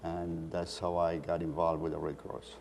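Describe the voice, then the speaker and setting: An older man from Colombia, answering interview questions in a halting way with many pauses. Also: sits low, flat delivery, gravelly, a little mellow. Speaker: guest, council chair. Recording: echoey room with faint hiss.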